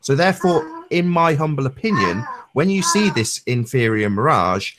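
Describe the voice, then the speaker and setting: A man's voice: medium pitch, very animated, normal speed, noisy background. A man on a discussion panel, speaking emphatically in wordy, technical phrases during an informal debate.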